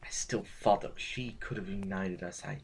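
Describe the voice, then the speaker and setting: Man acting low-voiced, weary, self-doubting intellectual. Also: fairly even intonation, vocal fry, a little hiss or hum. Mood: rueful. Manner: halting, reflective, formal. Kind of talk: audio drama acting.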